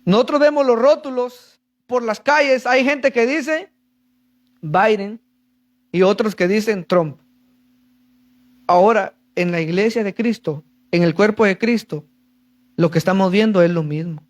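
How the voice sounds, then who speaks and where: A man's voice: sits high, a little slow, pauses often. A man preaching a sermon to a congregation, reading verses and explaining them, calling for answers. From Central America. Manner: reads scripture then explains, asks congregation for answers.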